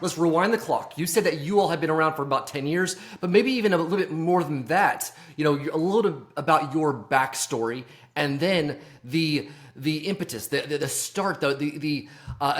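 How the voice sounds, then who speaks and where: A man's voice: on the high side, fairly bright tone. Upbeat man hosting an interview. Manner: upbeat, encouraging, stumbles over words. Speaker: interview host. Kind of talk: casual interview chat.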